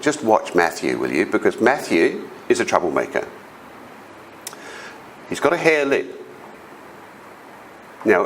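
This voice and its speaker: A middle-aged man with an Australian accent and a big voice tells personal stories to a conference audience. His speech sits low but swings widely as he acts out quoted voices. A faint hiss runs under the recording. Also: poor audio. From South Australia. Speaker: conference speaker. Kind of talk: storytelling presentation. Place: conference hall.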